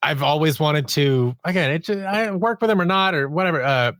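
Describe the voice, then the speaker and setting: A man's voice: a little high, expressive, brisk, a bit bright, dry recording, studio quality. A man who is an audio drama writer and actor, chatting casually as an interview guest and acting out little asides as he talks.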